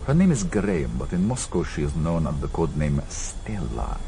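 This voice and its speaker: Very deep, officious Soviet intelligence major. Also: male voice, sing-song, moderate pace, gravelly, heavily acted. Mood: measured, officious. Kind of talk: vintage radio drama acting. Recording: noisy vintage radio drama recording.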